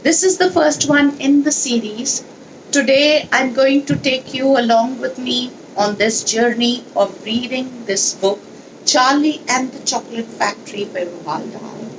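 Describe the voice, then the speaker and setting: A woman leading a children's read-along, speaking in an instructional, deliberate, explanatory way with pauses. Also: sits high, noisy background. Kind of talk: instructional talk and storybook read-aloud.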